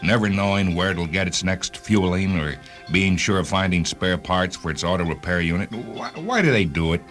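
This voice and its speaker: A man acting a driven, vengeful hunter in a radio drama, with an intense, emphatic and sometimes halting delivery. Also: low-pitched, fairly bright tone, faint music behind. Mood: bitter, brooding. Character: driven, vengeful male hunter of rogue cars.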